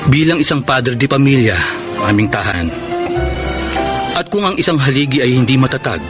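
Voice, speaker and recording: A man's voice: mid-range voice, expressive, normal speed, loud music behind the voice, close to an everyday voice. An actor playing a humbled family man solemnly narrating his life story in a radio drama. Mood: solemn, reflective.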